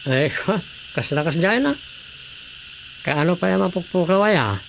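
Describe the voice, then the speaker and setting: A plain-spoken village official in an Ilocano radio drama, played by an actor. The voice is creaky and gravelly, with a middling pitch. It sits in a noisy track with ambience behind it. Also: lively intonation, a little slow. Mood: matter-of-fact.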